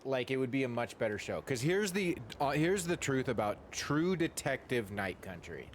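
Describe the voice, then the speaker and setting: A male game streamer with a mid-pitched voice. He talks in a loose, informal, rambling way with very lively intonation. There is faint noise behind him. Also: moderate pace. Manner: informal, mild euphemisms for swearing. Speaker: game livestreamer. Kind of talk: casual rambling livestream chat.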